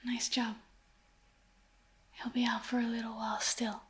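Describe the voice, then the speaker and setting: A woman whispering as a cold-blooded female noir accomplice in an ASMR roleplay, hushed and commanding. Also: fairly high pitch, flat delivery, a little slow, natural voice. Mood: cool menace. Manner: hushed, commanding, coolly ruthless. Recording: distant whisper with faint music.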